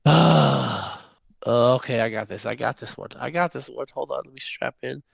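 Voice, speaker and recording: Male podcast co-host, casual banter, high register with fry, close dry sound. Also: normal speed, no echo. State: feverish, by own account. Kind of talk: casual banter with a music guessing game.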